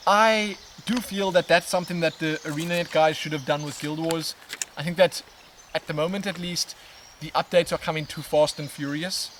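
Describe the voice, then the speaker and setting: A man, casually answering viewer questions in a relaxed, expressive, rambling way as a vlog host. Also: a little high, moderate pace. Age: 20s. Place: outdoors on a riverbank in woodland. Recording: outdoor natural background noise, birds. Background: outdoor ambience with birds.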